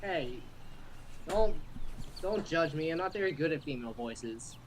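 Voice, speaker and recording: A man's voice: on the high side, lively intonation, measured pace, rough recording. A young man co-hosts a let's play with casual banter. There is faint noise on the home recording. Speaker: co-host of a visual novel let's play.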